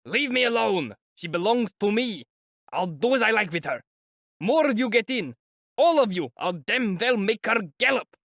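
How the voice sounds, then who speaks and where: A man's voice: high voice, very animated, measured pace, vocal fry, pushed and bright, no echo, clean studio sound, pitched above the performer's own voice, heavily acted. A man voicing a brutal, drunken male peasant who bellows in rage. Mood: raging. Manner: bellowing, raging.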